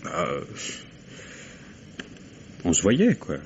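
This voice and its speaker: Touchy male nurse, lowish voice, very animated, halting and defensive. Vintage radio mystery. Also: full character voice. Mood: halting, guarded. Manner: halting, emotional, flaring into indignation. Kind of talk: 1950s French radio mystery acting. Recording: dull, noisy vintage radio recording.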